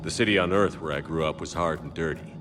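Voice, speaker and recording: An actor playing a grave, direct military commander in a video game. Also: male voice, a bass voice, fast talker. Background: background music.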